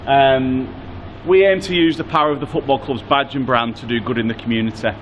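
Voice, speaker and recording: A man's voice: medium pitch, fairly even intonation, a little fast, muffled recording, a little hiss or hum. A man, a business executive, giving informative interview answers.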